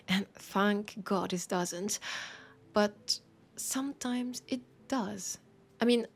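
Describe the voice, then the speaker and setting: A woman with a French accent playing a teasing, warm bookshop keeper in an intimate audio roleplay, soft and playful, often slipping toward a whisper. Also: medium pitch, vocal fry. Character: teasing, warm young-sounding human bookshop keeper, chatting to vampire.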